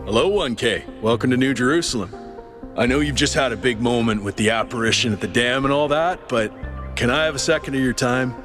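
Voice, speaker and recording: A man's voice: medium pitch, brisk, natural voice. Man as an earnest android activist over music. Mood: earnest, concerned. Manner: earnest, persuasive, polite. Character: earnest male android citizen activist, politely urgent. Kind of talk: video game dialogue.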